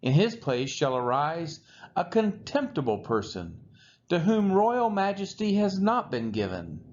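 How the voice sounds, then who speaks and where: Slow, expressive man reading scripture. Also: fairly high pitch. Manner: measured, deliberate phrasing. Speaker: scripture reader. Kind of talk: solemn read-aloud of scripture. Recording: dull lo-fi recording with faint noise.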